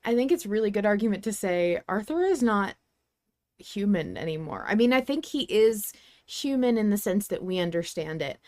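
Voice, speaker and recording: A woman with a mid-pitched voice hosts a casual discussion. She thinks aloud and hedges as she speculates, in a close, dry recording. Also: a little mellow, dry recording. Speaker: podcast host.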